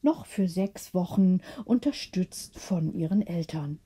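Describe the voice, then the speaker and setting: A woman narrating a bedtime audiobook story in a measured, expressive storytelling manner. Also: fairly low pitch, slightly rough.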